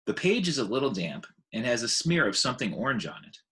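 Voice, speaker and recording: A man reading a children's book aloud in an expressive narrating style. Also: mid-range voice, fast.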